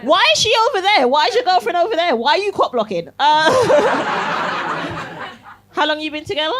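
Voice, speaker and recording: A woman's voice: very high-pitched, very animated, moderate pace, noisy background. A young woman from Barbados performing a cheeky stand-up set in a comedy club, teasing the crowd and laughing through her lines. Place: comedy club, live audience.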